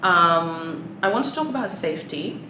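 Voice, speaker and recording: Swahili-native woman vlogger, low voice, chatty and sassy, echoey room with audible noise. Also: expressive, normal speed, sharp tone. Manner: chatty, many fillers, sassy asides. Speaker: luxury shopping vlogger. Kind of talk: casual chat to camera.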